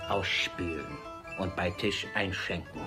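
A man's voice: low voice, unhurried, over music. An actor playing a miserly old father in a radio comedy, fussy and commanding, stammering and repeating himself as he orders the household about. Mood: bossy, exacting. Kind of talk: classic stage comedy in German radio drama.

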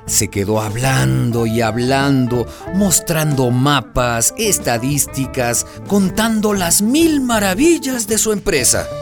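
A man's voice: medium pitch, expressive, slow pace, few pauses. An actor playing a proud widowed father who tells the story in a radio drama. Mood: wry storytelling. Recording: music under the voice.

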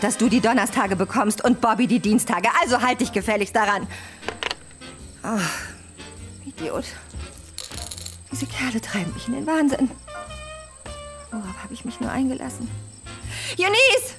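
A woman's voice: mid-range voice, quick pace, hardly any pauses, faint music behind, close to an everyday voice. An actress playing an exasperated, foul-mouthed blonde female film star with a lonely streak in a radio drama. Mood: exasperated, irritated. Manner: volatile, swearing.

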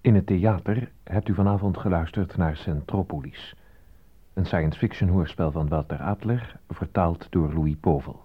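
Formal radio announcer guy reading credits, low raspy voice, muffled. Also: normal speed. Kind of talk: scripted closing credits announcement. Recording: muffled old radio recording.

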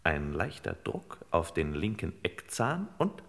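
An actor playing a hard-boiled, sardonic detective boss in an old radio crime play, commanding and sarcastically florid, in a reverberant space. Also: male voice, sits low, huge pitch swings, takes it slowly, a bit bright, heavily acted.

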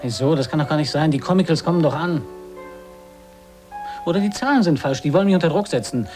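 A man's voice: a little high, expressive, quick pace, darkish tone, faint music behind, lightly acted. A man acting a suspicious, restless gag writer in a German radio drama, speaking in terse, questioning phrases. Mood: sceptical. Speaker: actor.

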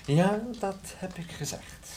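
An actor playing a wry, unflinching private detective in radio play dialogue, with a dry, sardonic manner. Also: male voice, high voice, takes it slowly, darkish tone, slight background noise. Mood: guarded.

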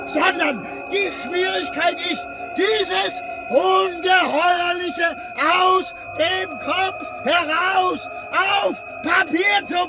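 Obsessive scholar, radio drama monologue, emphatic, repetitive, halting, very slow. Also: male voice, very high-pitched, full character voice, rough recording. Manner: emphatic, repetitive, halting delivery with drawn-out words. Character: obsessive, tormented scholar consumed by his life's study.

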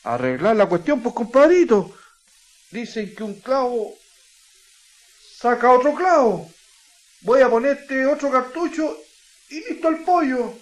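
Swooping, proud young Chilean miner. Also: male voice, extremely high pitch, very animated, measured pace, long pauses, exaggerated voice, rough recording. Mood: jaunty resolve. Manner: colloquial, exclamatory. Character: proud young male saltpetre miner, carefree and folksy. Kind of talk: radio drama acting.

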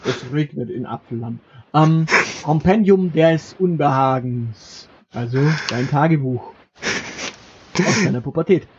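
A man's voice: a little high, a little slow, a little mellow. A man co-hosting a podcast in casual banter, reading out from a list and joking as he goes. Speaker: podcast co-host. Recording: muffled lo-fi podcast recording with background noise.

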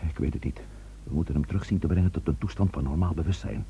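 A man's voice: rumbling low, fast talker, vocal fry, dull audio, slight background noise. An actor playing a stern, authoritative man taking charge of a crisis in a radio drama, grave and emphatic. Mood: grave, determined.

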